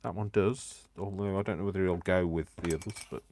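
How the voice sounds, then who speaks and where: A man from Coventry with a Coventry English accent softened by years in Yorkshire, chatting and teasing himself as he demonstrates crafts live. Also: low voice, steady tone, fairly quick, no room sound. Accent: Coventry English, worn by years in Yorkshire. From England. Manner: chatty and self-mocking, talks to himself and the viewers. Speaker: crafting livestreamer.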